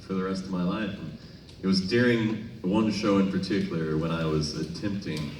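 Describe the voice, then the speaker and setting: An American man telling humorous stories onstage to a concert audience, conversational, with deliberate pauses before punchlines. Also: low-pitched, steady tone, darkish tone. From Minnesota, United States. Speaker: singer addressing a concert audience. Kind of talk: humorous onstage storytelling between songs. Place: large casino concert venue. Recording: echoey live hall, distant noisy PA sound.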